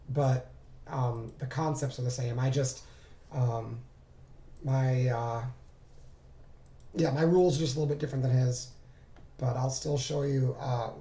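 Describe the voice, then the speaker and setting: Hoarse, gravelly man explaining charts. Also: mid-range voice, warm tone. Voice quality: raspy. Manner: halting, thinking aloud. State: hoarse, recovering from laryngitis. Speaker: trading instructor reviewing charts. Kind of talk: informal screen-share tutorial. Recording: roomy, echoing voice recording.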